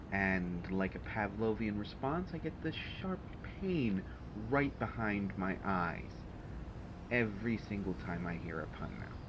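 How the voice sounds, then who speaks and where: A man playing a testy, sardonic supervillain genius in an audio roleplay, speaking in a dry, haughty, hesitant way with exasperation. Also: mid-range voice. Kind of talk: intimate audio roleplay monologue to a listener. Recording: dull, noisy home recording.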